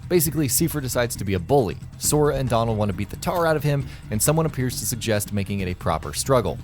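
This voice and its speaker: A man's voice: mid-range voice, big pitch swings, quick pace, darkish tone, faint music behind. A man reading scripted countdown narration in a wry, conversational way. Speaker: video narrator.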